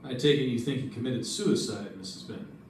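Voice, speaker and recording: A man's voice: mid-range voice, brisk, a little hiss or hum, lightly acted. A man playing a dry, probing insurance investigator in an old-time radio detective drama, with wry, clipped questioning. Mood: polite probing. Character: dry, probing male insurance investigator narrating his case. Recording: roomy, distant stage recording with music.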